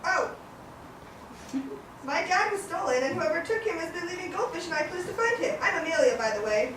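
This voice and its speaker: An eager girl adventurer, performed by a young woman, with a very high, bouncy voice for a puppet show. She sounds far off in a strongly echoing hall. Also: expressive, fairly quick. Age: teenager or young adult. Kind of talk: live children's puppet show. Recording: echoey noisy hall, far from mic.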